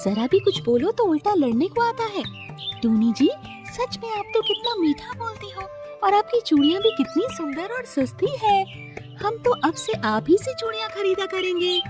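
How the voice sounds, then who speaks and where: Woman as a chatty, high-voiced cartoon bird customer. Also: expressive, moderate pace, over music. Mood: chummy, complaining. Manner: effusive, complaining, chummy. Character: friendly, chatty, gossipy female bird customers. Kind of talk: children's cartoon story acting.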